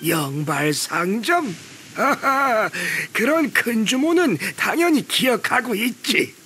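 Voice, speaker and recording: An elderly village carpenter from a video game, gruff yet good-humored. His voice is strikingly high and forcefully bright, with blunt, folksy phrasing. Faint noise sits behind him. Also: very animated, slow, exaggerated voice. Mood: gruff, matter-of-fact. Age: older. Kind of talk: video game character acting.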